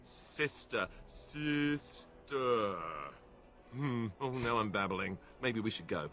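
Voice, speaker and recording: An actor playing an awkward, earnest, boyish young warrior in a video game, hesitant and prone to nervous babbling. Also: male voice, medium pitch, slow, fairly bright tone, faint music behind.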